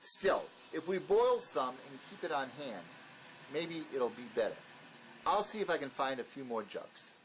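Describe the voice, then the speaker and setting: A man's voice: fairly high pitch, sing-song, moderate pace, a bit bright, poor audio. A man acts a pragmatic fugitive ex-convict in an audio drama, with widely swinging intonation. He is terse, bossy and dismissive. Faint noise sits behind the voice. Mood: matter-of-fact.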